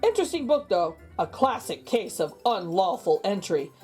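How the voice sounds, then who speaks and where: A woman's voice: medium pitch, big pitch swings, normal speed, darkish tone, soft background music, voice pushed down, natural voice. A woman voicing an officious male small-town police officer for a storybook, speaking in clipped official jargon. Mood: matter-of-fact.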